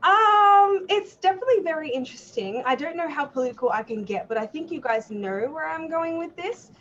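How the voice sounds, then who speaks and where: Effusive Australian woman, higher voice. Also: animated, brisk, fairly bright tone, dull audio. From Melbourne, Australia. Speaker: podcast guest, cosplay artist. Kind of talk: casual chat. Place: remote online call.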